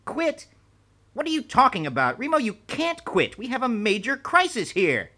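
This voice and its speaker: A man's voice: on the high side, expressive, fairly quick, fairly bright tone, pitched above the performer's own voice. A man voicing an elderly male spymaster, precise and anxious, speaking urgently. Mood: alarmed. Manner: urgent, pleading.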